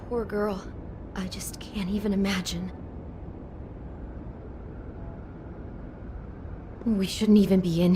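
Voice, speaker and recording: An actress playing a shaken, compassionate young woman in a video game, speaking in an anxious, halting, emotional way. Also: medium pitch, fairly bright tone, slight background noise. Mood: upset. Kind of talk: naturalistic video game voice acting.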